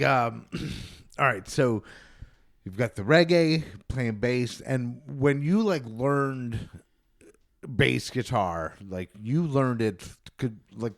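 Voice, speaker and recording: A man chatting casually as a podcast host, in a rambling, halting, sweary way. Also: medium pitch, vocal fry. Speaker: podcast host and band bass player. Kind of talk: casual chat.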